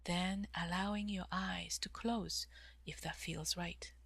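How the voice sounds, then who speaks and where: A woman leading a guided meditation in a calm, measured way, with long pauses and soft, breathy phrase endings. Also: on the low side, no room sound. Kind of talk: guided meditation, slow instruction.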